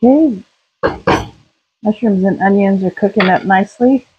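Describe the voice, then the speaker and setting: A woman narrating a home cooking video in her kitchen, talking through each step in a relaxed way with small fillers. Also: medium pitch, moderate pace, narrow, tinny audio, slight background noise. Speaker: home cook, channel host.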